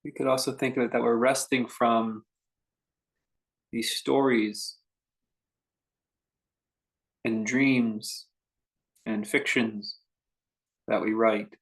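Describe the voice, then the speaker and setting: A man guiding a meditation in an informal, conversational way, speaking in short phrases with unhurried pauses between them. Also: mid-range voice, flat delivery. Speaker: meditation teacher leading a guided meditation. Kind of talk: unscripted guided meditation talk.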